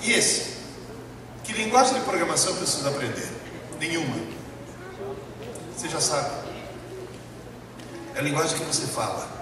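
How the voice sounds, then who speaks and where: A man's voice: a little high, sing-song, moderate pace, pauses often. A man from Italy with an Italian accent, a professor lecturing emphatically to a live audience and putting rhetorical questions to them. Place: large lecture hall. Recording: echoey hall, distant mic, noisy.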